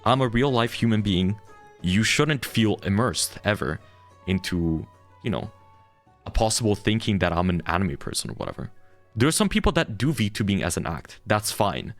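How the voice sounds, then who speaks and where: A young man with a deep, low voice rants candidly to his viewers. He is blunt and heated and swears freely, with faint music playing behind him. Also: lively intonation, moderate pace. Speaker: VTuber streamer. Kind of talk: candid ranting monologue.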